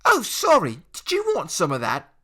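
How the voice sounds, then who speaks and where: A man's voice: high-pitched, huge pitch swings, moderate pace, pushed and bright, voice pushed up, full character voice. A man voicing a cocky teenage boy bully in an audiobook, with a mocking, sneering manner. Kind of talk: audiobook character voice.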